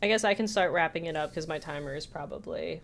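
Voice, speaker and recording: A woman's voice: deep voice, quick pace, gravelly. A woman co-hosting a podcast, talking through a film review in a casual, chatty, hedging way. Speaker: podcast co-host.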